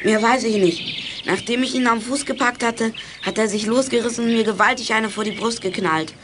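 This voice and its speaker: A woman's voice: fairly high pitch, normal speed, a bit bright, slight background noise, close to an everyday voice. A woman voicing a cheeky, plucky boy in a children's radio play, with brash, slangy, teasing delivery. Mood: brisk, confident. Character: cheeky, plucky boy detective, always hungry.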